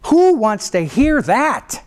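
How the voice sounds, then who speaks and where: A middle-aged man, a trial lawyer, lecturing law students in an animated way, swinging between a low calm voice and sudden raised mimicry. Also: slow pace. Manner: animated, mimics other lawyers with rising pitch, then drops low to show restraint.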